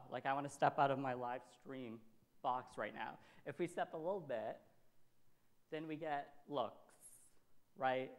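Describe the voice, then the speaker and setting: A man in his thirties from Syria giving a motivational talk, emphatic, repeating phrases and checking in with short tags. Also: mid-range voice. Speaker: workshop speaker. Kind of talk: motivational presentation to an audience. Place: indoor event space, presenting in front of a backdrop.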